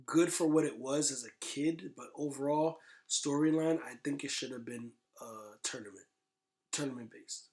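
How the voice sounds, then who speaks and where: A man's voice: mid-range voice, moderate pace, darkish tone, reverberant. A man chatting casually on a podcast about pop culture, blunt and emphatic, with slangy talk and swearing. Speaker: podcast host. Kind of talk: casual podcast chat about video game movies.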